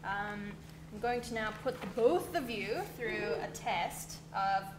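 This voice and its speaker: A woman's voice: medium pitch, takes it slowly, roomy sound, background noise. A woman in her thirties hosting a talk show, upbeat and prompting her guests, on a studio mic. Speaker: host. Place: studio set with shelves. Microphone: studio mic on boom arm.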